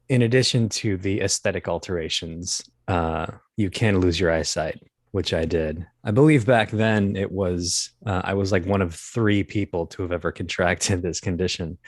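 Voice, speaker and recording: A man in his early thirties telling anecdotes as an interview guest, in a halting, wry, self-deprecating way. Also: low-pitched, dry recording, studio quality. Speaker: guest, voice actor and comedian. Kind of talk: casual interview, anecdotal storytelling.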